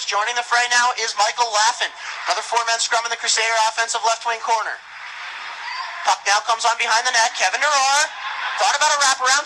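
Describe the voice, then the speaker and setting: High-pitched, excitable male hockey announcer. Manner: urgent, excitable calls. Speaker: play-by-play announcer. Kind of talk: live ice hockey radio commentary. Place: ice hockey rink broadcast position. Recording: noisy arena radio broadcast.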